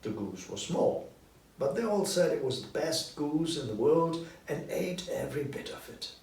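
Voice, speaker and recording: Expressive male storyteller with animated pitch. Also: moderate pace. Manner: dramatic, clearly phrased. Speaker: narrator, audiobook reader. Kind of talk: expressive scripted storytelling for language learners. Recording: roomy, distant, faint background noise.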